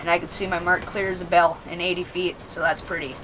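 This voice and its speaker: A man's voice: high-pitched, little pitch movement, fast, sharp tone, a little hiss or hum, rough recording. A young man narrating his fishing trip to viewers in a casual, upbeat way, filming himself with a handheld camera on a frozen lake. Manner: upbeat, addresses viewers as dudes. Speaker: fishing vlogger. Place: outdoors on frozen lake and inside ice shelter. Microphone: handheld vlog camera at arm's length.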